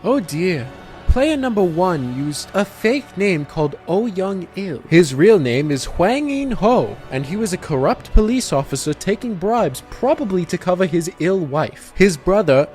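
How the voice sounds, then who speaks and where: A man's voice: high voice, very animated, measured pace, a little mellow, faint music behind, voice pushed up. A man voicing a polite, deferential butler with dry concern in a superhero fan story. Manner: formal, deferential.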